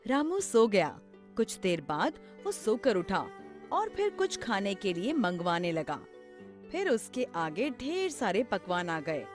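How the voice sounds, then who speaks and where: A woman's voice: mid-range voice, very animated, slow pace. A woman narrating a scripted children's story. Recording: music behind the voice. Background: background music.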